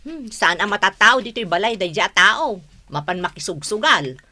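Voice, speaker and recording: A woman's voice: medium pitch, big pitch swings, moderate pace, bright, forceful tone, heavily acted. A woman playing an exasperated, long-suffering wife in a radio drama, earnest, with an Ilocano accent.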